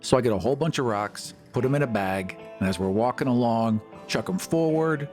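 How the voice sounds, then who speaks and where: A man's voice: mid-range voice, lively intonation, brisk, darkish tone. A man tells his part of a tabletop game in a casual storytelling style. He speaks as a player at the table, with faint music behind him.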